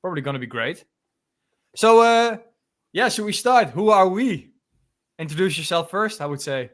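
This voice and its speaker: A man's voice: high-pitched, lively intonation, moderate pace. A man in his twenties from the Netherlands with a Dutch accent, co-hosting a casual history podcast in a loose, chatty way with many fillers. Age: 20s. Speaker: podcast co-host. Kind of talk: casual chat.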